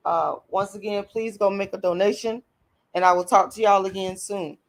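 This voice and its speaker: A woman speaks in a hoarse voice. She addresses her viewers directly in a blunt, emphatic late-night stream monologue. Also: mid-range voice, fairly even intonation, normal speed. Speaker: channel host.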